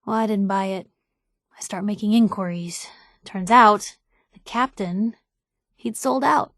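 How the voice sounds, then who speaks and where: An actress playing a feisty, wry young newspaper reporter in a video game, with an American accent and a conversational, sardonic delivery. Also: female voice, mid-range voice, no echo, studio quality, natural voice. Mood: indignant, storytelling. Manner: sardonic, conversational, quick-witted asides. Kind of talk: video game companion dialogue.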